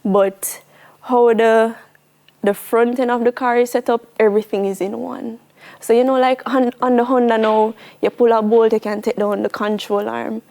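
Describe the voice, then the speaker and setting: A young woman of twenty with a Jamaican accent and a fairly high-pitched voice. She speaks as a guest in a casual television interview. Her delivery is earnest and emphatic, and she likes to repeat a phrase to drive it home. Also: flat delivery, moderate pace, darkish tone.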